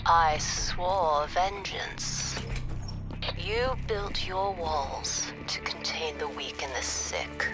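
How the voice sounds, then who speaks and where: An actor playing a solemn, vengeful insurgent leader in a video game, declaiming to enemies. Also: male voice, a little high, music in the background. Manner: slow, declamatory. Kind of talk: video game cutscene acting.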